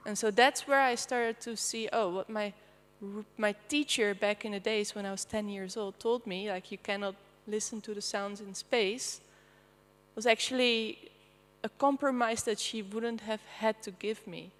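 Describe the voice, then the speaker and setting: A woman's voice: mid-range voice, fairly even intonation, a little slow. A woman from the Netherlands with a Dutch accent, presenting her artistic research at a panel in a loose, chatty way with many fillers. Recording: reverberant lecture hall.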